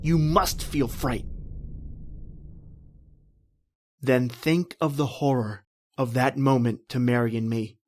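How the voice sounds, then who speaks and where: A man's voice: mid-range voice, fairly even intonation, measured pace, darkish tone, close to an everyday voice. An actor playing an anguished married man trapped in prehistoric time, telling his horror in melodramatic old-time radio style. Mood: dread-filled. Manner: melodramatic, intense, repetitive narration.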